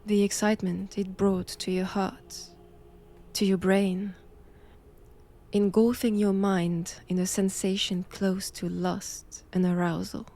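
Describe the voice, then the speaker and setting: A woman acts out a menacing, knowing nun captor from an old monastery tale. Her French-accented speech is very slow and measured, at a medium pitch. She teases in formal, old-fashioned phrases, intimate as a roleplay audio drama. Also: flat delivery, mellow. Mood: probing, intimate.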